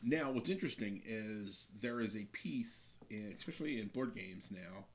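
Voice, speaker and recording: A man's voice: lowish voice, animated, moderate pace. A man speaking as a game designer guest, explaining his craft in a casual conversational way.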